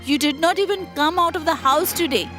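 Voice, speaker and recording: A woman playing a commanding mother-in-law in a moral-story cartoon, speaking with deliberate authority over music. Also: high voice, fairly bright tone. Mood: stern, scolding. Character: commanding mother-in-law testing her daughters-in-law. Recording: music behind the voice.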